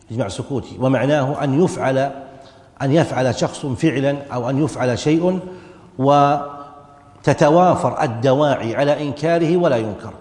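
A man's voice: medium pitch, a little mellow. A man teaching Islamic jurisprudence as a classroom lecture, explaining a text step by step, counting out his points and restarting words. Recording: echoey hall reverb.

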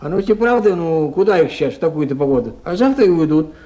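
Man playing kindly eager office helper in old radio play, high voice, obliging. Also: lively intonation, quick pace, dark tone, close to an everyday voice, low-quality recording. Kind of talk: 1970s Soviet radio drama acting.

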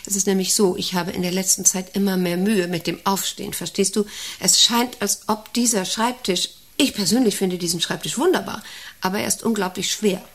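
An actress playing a middle-aged, self-important and intrusive company director in a radio play, rambling and domineering with a creaky voice. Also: female voice, medium pitch, steady tone, a little fast, fairly bright tone, natural voice. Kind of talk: radio play monologue and dialogue.

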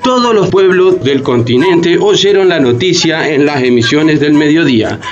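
A man's voice: a little high, lively intonation, normal speed, muffled recording, over music, natural voice. A man playing the narrator of a radio drama, recounting events as scripted dramatic narration. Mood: narrative.

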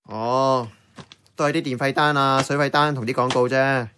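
A man's voice: mid-range voice, fairly even intonation, moderate pace, bright, forceful tone, slight background noise, lightly acted. An actor with a Hong Kong Cantonese accent playing a casual, blunt young man in radio drama dialogue. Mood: offhand.

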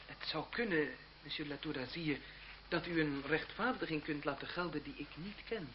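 An actor playing a proud, righteous young seminarian in a radio drama, with an earnest, formal, declamatory delivery. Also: male voice, a little high, normal speed, natural voice. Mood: restrained disapproval. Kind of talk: Dutch radio drama acting. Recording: muffled old radio recording with background noise.

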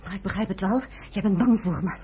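A woman's voice: mid-range voice, fast talker, warm tone, slightly rough. An actress playing a proud, outspoken American woman working as a medic in a radio play. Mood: indignant. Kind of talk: Dutch radio play acting. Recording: faintly noisy radio play recording.

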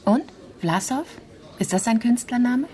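An actress playing a confident, flirtatious professional woman in a radio drama, brisk and teasing. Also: fairly high pitch, animated, warm tone.

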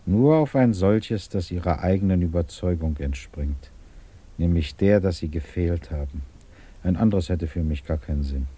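A man playing a measured, courteous British government investigator in a 1950s German radio drama, formal, deliberate and patiently probing. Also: sits low, animated, mellow, husky. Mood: calm, earnest.

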